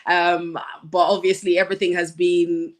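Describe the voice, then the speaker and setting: A woman in her thirties from Nigeria, a guest in a casual chat, thoughtful and quick to laugh. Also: fairly low pitch, flat delivery. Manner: laughs often, thoughtful, some ums.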